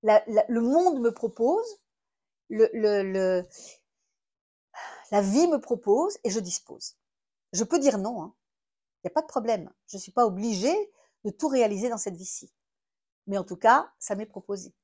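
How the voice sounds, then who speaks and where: A woman's voice: fairly high pitch, lively intonation, a little slow, pauses often, dark tone. A French woman explaining her therapy approach in a teaching talk, full of fillers and restarts, often asking herself rhetorical questions. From France. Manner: thinks aloud with many fillers and restarts, asks rhetorical questions. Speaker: astrotherapist and trainer.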